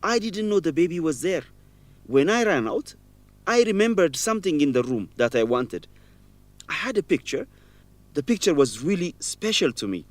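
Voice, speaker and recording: An actor playing a guilt-ridden young man in an educational radio drama, speaking earnestly and haltingly with emotion. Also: fairly high pitch, sing-song, no room sound. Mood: anguished, regretful. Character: guilt-ridden young man, former orphan, confessing a shameful secret.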